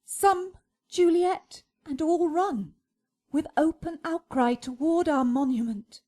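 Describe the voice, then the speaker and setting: Woman doing old grieving noble mother, shakespeare radio play, high mellow voice. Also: slow. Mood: distraught. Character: distraught elderly noblewoman, mournful mother. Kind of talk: Shakespearean radio drama verse.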